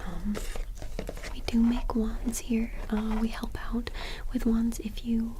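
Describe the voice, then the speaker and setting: A woman whispering gently and unhurriedly in an ASMR roleplay as a shopkeeper attending to a customer, with a breathy sound. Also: a little high, flat delivery, slow, background noise. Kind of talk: ASMR roleplay, soft-spoken shop chat.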